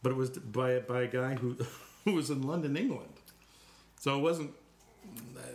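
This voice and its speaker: A man, a podcast co-host chatting casually and relaying what he has read. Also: mid-range voice. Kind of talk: casual chat, relaying research. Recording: echoey room, faint noise.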